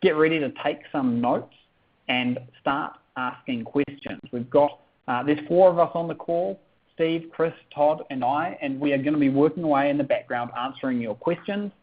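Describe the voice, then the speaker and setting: A man's voice: on the high side, moderate pace. A man in his thirties with a New Zealand accent, hosting an upbeat sales webinar and often tagging lines with "okay?". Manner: upbeat, keeps asking for chat replies, tags lines with "okay?". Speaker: webinar presenter. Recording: tinny phone-like audio.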